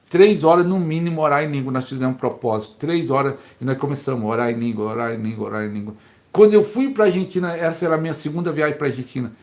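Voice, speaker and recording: Fervent Brazilian pastor, slightly high voice. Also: male voice. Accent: Brazilian Portuguese. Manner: fervent storytelling, repeats phrases for emphasis. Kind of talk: sermon-style teaching. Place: home, neighbor knocking heard.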